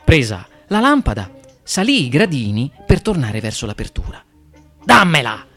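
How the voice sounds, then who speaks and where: A man narrates a fairy tale as a storyteller, with a very lively, swooping delivery. Music plays softly behind his voice as he reads. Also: mid-range voice, moderate pace. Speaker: guest reader of fairy tales.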